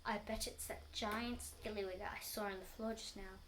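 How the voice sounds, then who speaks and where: A woman's voice: medium pitch, fairly even intonation, moderate pace, a bit bright, a little hiss or hum, natural voice. A woman voicing a nasty, grumpy old husband in a storybook, taunting and exclamatory.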